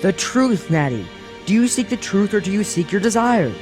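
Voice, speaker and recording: A man's voice: high voice, sing-song, moderate pace, a little mellow, faint music behind. A man playing a cautious, earnest young traveler in a fantasy audio drama, speaking formally as an anxious protector. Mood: urgent, pleading.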